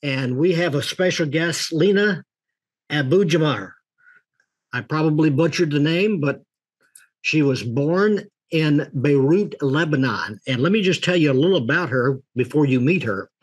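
Older man, host, husky, rambling folksy delivery, video call. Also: a little high, lively intonation, measured pace, poor audio. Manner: rambling, folksy, with many restarts and fillers. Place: office with a leather chair.

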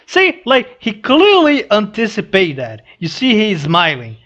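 A young adult man giving casual read-along commentary, halting and restarting his phrases as he goes. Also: sits high, wildly swooping pitch, fairly bright tone, dull audio. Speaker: reaction video host. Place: small room indoors. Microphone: headset boom mic.

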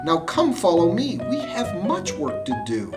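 A man's voice: high-pitched, wildly swooping pitch, a little slow, pitched above the performer's own voice, heavily acted. A man voicing a prim, bold woman librarian in a storybook, firm and scolding. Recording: music playing behind the voice.

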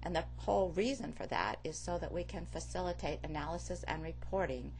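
Low-voiced woman giving a slide lecture. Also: creaky, darkish tone. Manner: measured, explanatory. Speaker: course instructor. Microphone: voice-over over slides. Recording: faint background hum.

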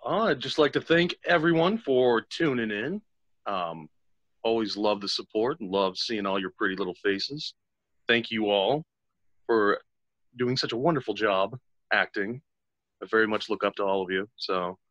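Guy chatting on a podcast stream, bright punchy voice, no room sound. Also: fairly low pitch, moderate pace, no room sound, lo-fi sound. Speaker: podcast cast member. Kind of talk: casual chat. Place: indoors, remote video call.

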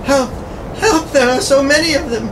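A man voicing a frightened young damsel in distress, shrill and pleading, in radio horror style. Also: normal speed, distant mic, background noise. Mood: terrified. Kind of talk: old-time radio horror acting.

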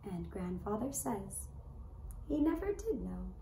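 A woman narrating a scripted children's story in a deliberate way, with dramatic pauses. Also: mid-range voice, big pitch swings, gravelly, mellow. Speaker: puppet show storyteller. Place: indoor room with draped puppet stage. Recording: roomy echo, faint background noise.

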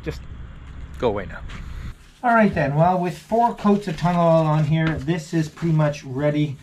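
A man's voice: on the high side, lively intonation, moderate pace, a little mellow, slight background noise. A middle-aged man casually talking through repair tips in a small wooden boat cabin, chatty and self-deprecating. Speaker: vlogger. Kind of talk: casual how-to vlog narration.

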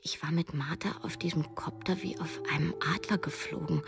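A female writer in her thirties, recounting her story in a radio drama. The voice is low with a lot of fry, measured and literary. Music runs clearly behind it. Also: fairly even intonation, whispered. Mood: intense, dreamy. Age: 30s. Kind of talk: scripted first-person narration, radio drama.

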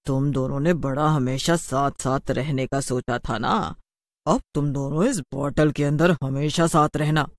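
A man's voice: mid-range voice, measured pace, a little hiss or hum, natural voice. A man voicing a vengeful female witch ghost in a cartoon horror story, with a menacing, accusing, dramatic delivery. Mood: vengeful, mocking. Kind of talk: animated horror story dialogue.

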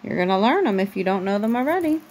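A woman's voice: mid-range voice, big pitch swings, quick pace, a little mellow, a little hiss or hum. A woman reading a picture book aloud to her small child at bedtime, as a mother at home, recorded on a phone. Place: bedroom at home.

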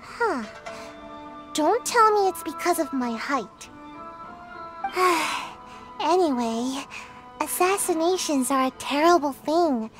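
A woman voicing a small, sleepy young girl ninja in a video game, reluctant and evasive, with a drowsy, hesitant manner. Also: high-pitched. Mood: matter-of-fact. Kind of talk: video game character dialogue.

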